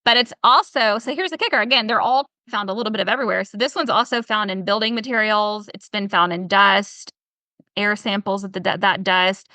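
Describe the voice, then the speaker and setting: Bright-voiced Southern woman, animated. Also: medium pitch, brisk, no room sound. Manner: animated, stacks rhetorical questions. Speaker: health professional guest. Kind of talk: casual podcast conversation.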